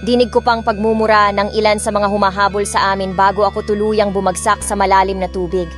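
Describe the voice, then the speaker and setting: A young woman narrating a first-person romance audiobook, expressive and switching between two languages. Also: medium pitch, fast talker, faint music behind.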